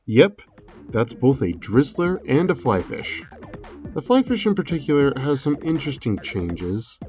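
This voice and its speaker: A man giving a measured, explanatory voice-over commentary, semi-scripted, as a video creator analysing a game trailer. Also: fairly low pitch, dark tone, highs cut off.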